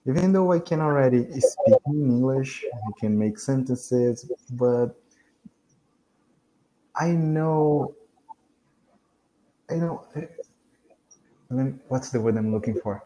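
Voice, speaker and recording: A man's voice: medium pitch, slow, long pauses, warm tone, rough recording. A young man whose first language is Portuguese, practicing English on a video call, hesitant and correcting himself often, with frequent laughs. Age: young adult. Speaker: English student. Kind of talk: casual conversation practice.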